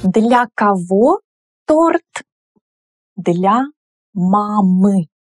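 Slow, patient woman teacher. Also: medium pitch, darkish tone, dry recording. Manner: slow, clear, patient explaining with gestures. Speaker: language teacher. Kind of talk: whiteboard lesson explaining grammar. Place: indoor room in front of a whiteboard.

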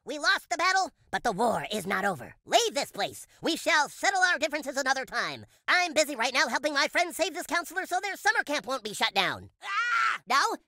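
A high, bright child's voice for a cartoon game. He is an earnest boy superhero from another planet, proclaiming everything with theatrical pomp and big swings in pitch. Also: very animated, fairly quick, hardly any pauses, dry recording, studio quality, exaggerated voice. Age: child character. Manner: grandiose, theatrical superhero declarations. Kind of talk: cartoon video game voice lines.

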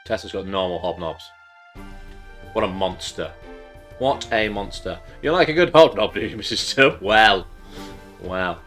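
Congested streamer, rambling chat. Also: male voice, fairly low pitch, expressive, unhurried, long pauses, fairly bright tone, soft background music. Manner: rambling, chatty, jokey asides. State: congested, losing his voice. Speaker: game streamer host. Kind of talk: casual live-stream chat while playing.

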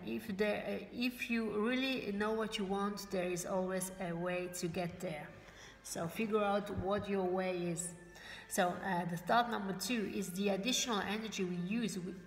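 Brief: woman, 40s, native Italian and German speaker; hesitant, word-searching motivational vlog to webcam; roomy living-room sound, faint background hiss. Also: medium pitch. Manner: halting, searches for English words, many fillers and restarts; gestures with her hands while talking. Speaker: motivational vlogger for network marketers. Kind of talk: casual motivational talk to camera. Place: bright living room indoors.

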